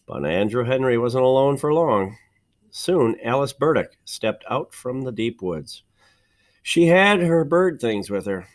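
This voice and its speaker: Man reading a storybook with very lively intonation. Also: mid-range voice. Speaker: teacher reading a storybook aloud. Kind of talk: expressive children's storybook narration.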